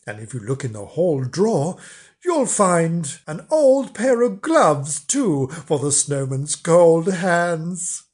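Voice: medium pitch, big pitch swings, takes it slowly, a little mellow. A storyteller voicing a cheerful, generous housewife who talks breezily. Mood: breezy.

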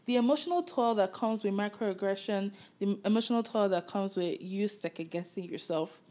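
A woman's voice: mid-range voice, brisk, darkish tone. A Nigerian woman in her twenties, co-hosting a podcast in casual, reflective chat and laughing easily. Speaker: podcast co-host.

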